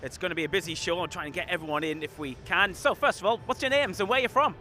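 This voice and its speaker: A man hosting a live pre-match football show pitchside, brisk and friendly as he introduces guests. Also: a little hiss or hum. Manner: bright, brisk, friendly presenter. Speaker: host. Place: outdoors pitchside at a stadium.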